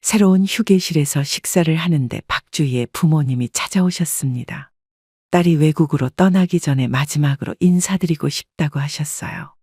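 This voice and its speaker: Husky woman narrating an audiobook. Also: low-pitched, dark tone, dry recording, studio quality. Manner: measured, polite storytelling. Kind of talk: scripted first-person story narration.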